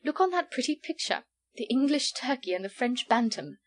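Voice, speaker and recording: A woman's voice: fairly high pitch, brisk, lightly acted. Woman acting a merry, teasing society lady. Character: merry, teasing young English lady of fashion. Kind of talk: storybook character voice.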